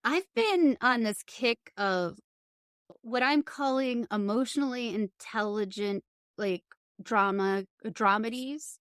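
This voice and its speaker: A woman's voice: on the high side, slow, fairly bright tone, clean tone, no room sound, studio quality. A woman hosting a podcast, chatting casually as she interviews a guest.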